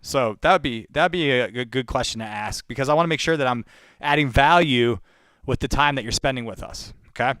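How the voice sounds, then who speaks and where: A man with a clean, smooth voice at an ordinary middle pitch chats casually as a live-stream caller. His delivery is informal and rambling, addressed straight to viewers, and the sound is close and dry. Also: fairly quick, a bit bright, no echo. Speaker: live sales-call host, real estate cold caller. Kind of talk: casual live-stream chat between phone calls.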